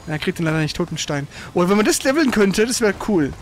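High-pitched man commenting on a game. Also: expressive, quick pace, a little hiss or hum. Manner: colloquial, exclaims at the game. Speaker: gamer, let's play host. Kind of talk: casual gaming commentary while playing.

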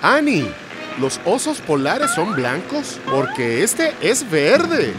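An actor voicing an excitable, helpful young dog sidekick in a children's cartoon. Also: mid-range voice, slow. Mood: curious. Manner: exclamatory, enthusiastic. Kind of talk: animated children's cartoon dubbing. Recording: loud music behind the voice.

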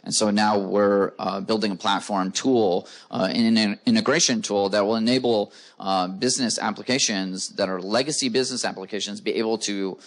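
A man's voice: fairly low pitch, steady tone, moderate pace, few pauses. A man speaking as a guest in an onstage conference interview, explaining in long runs full of "uh" fillers, into a handheld mic. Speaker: guest, company co-founder. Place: conference stage before a live audience.

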